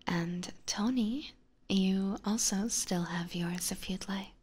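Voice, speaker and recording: Slow, whispering woman, close ASMR mic. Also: mid-range voice, darkish tone. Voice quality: breathy, mostly unvoiced whisper. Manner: informal, chatty, addressing viewers directly. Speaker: ASMR live streamer. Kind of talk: whispered ASMR livestream, casual chat with viewers. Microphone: close binaural ASMR microphone.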